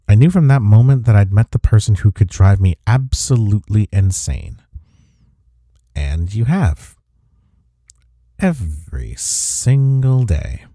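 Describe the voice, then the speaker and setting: A man acting a deep, husky, smitten office rival. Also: warm tone, no echo, studio quality, full character voice. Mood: exasperated confession. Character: flustered, smitten male office rival confessing attraction. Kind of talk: intimate romantic audio roleplay acting.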